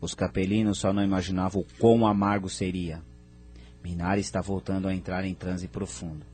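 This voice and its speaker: A man playing a wise, calm spirit guide in an audio drama, husky and measured as he recounts history. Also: sits low, soft background music, natural voice. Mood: grave, calm. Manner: measured, grave.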